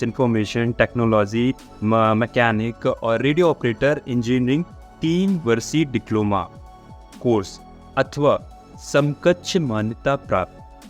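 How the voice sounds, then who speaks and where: A man hosts an informal explainer for exam candidates, mixing in English words as he talks. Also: medium pitch. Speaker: host of a job updates channel.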